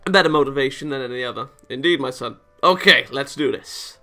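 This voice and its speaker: A man's voice: medium pitch, a little fast, sharp tone. A man casually reading a visual novel aloud, dropping wry interjections between the lines. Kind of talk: casual read-aloud commentary. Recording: music behind the voice. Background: game music.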